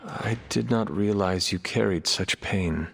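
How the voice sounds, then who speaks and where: A man's voice: deep voice, measured pace, gravelly, full character voice. A man playing a stoic, weary ancient warrior in a video game, speaking in a terse, formal, reserved way. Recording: loud background music under the dialogue.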